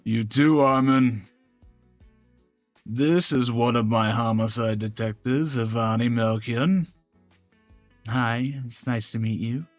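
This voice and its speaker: A man voices scripted visual novel drama in a serious, measured way. His pitch sits in the middle. The recording is dry and slightly dull, with music underneath. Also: no echo. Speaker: gaming channel creator voicing every character. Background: game music.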